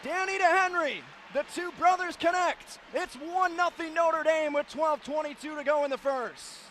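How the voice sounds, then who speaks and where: A man calling live hockey play-by-play on the radio in an excitable manner, ready to build into shouted calls. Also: very high voice, moderate pace. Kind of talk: live sports radio commentary. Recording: live broadcast with clear background noise.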